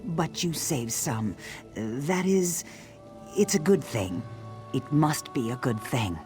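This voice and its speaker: Grieving lizard-folk villager, slow and swooping. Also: medium pitch, sing-song. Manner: slow, emotional, dramatic. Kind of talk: video game character dialogue. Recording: game music behind the voice.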